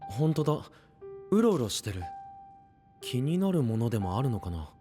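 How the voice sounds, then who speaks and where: Man playing a lively, tactful young anime sidekick. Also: medium pitch, faint music behind, close to an everyday voice. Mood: light. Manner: light, casual, tactful, quick retorts. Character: sociable, tactful young man, the group's quick straight man. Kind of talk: anime-style audio drama acting.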